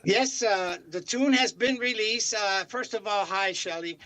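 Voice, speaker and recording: Older man, from Chicago, rambling, fillers, high voice, bright tone. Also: measured pace. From Chicago, United States. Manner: rambling, many fillers and restarts. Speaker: singer guest. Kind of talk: interview answers.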